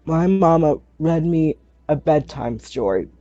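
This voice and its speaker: A man's voice: a little high, lively intonation, a little slow, warm tone, no echo, muffled recording, faint music behind. A man playing a distraught, defensive orphaned teenage girl on trial, stammering hesitantly in a tabletop roleplay. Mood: distraught, wounded.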